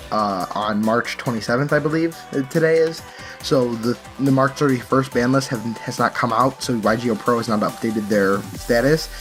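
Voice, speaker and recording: A man giving casual, unscripted commentary on card game play and decks, explaining things and correcting himself as he goes. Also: medium pitch. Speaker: card game channel host.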